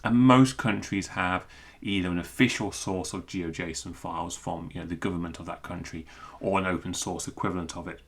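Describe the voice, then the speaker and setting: Very deep-voiced British man explaining. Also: fairly even intonation, brisk, creaky, slightly rough. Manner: conversational, digressive, thinking aloud. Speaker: amateur radio hobbyist and programmer presenting a talk. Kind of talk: informal unscripted technical explanation.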